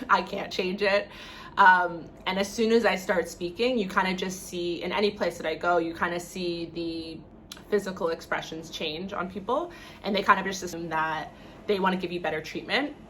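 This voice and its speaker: Low-pitched Canadian woman, casual vlogger. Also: a bit bright, slight background noise, rough recording. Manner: conversational, opinionated, frequent "like" and "you know". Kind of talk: casual talk to camera.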